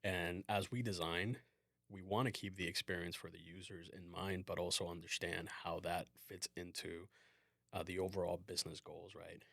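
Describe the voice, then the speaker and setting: Low gravelly-voiced man hosting a podcast. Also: moderate pace, dry recording, studio quality. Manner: relaxed, explanatory, frequent "um" and "you know". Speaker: podcast host, UX design manager. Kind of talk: casual conversational podcast.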